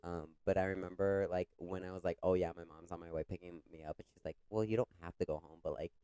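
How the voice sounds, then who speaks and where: A man's voice: low voice, steady tone, fast talker, warm tone, smooth, no echo. A young man co-hosting a podcast in casual chat, congested with a cough, talking loosely with lots of filler words. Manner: chatty, many "like" fillers, retells stories with quoted voices. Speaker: podcast co-host.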